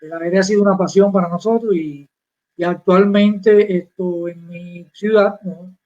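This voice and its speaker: Older Cuban man, high voice, reminiscing. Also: steady tone, moderate pace, dark tone, muffled recording. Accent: Cuban Spanish. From Cuba. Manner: rambling, proud, many restarts and fillers. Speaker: guest chess master. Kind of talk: live interview, reminiscing.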